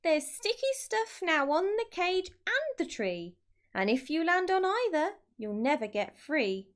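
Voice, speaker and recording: A woman's voice: very high voice, sing-song, a little slow, pitched above the performer's own voice, heavily acted. A woman voicing a frantic storybook bird, calling out warnings in an urgent singsong. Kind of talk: children's storybook read-aloud.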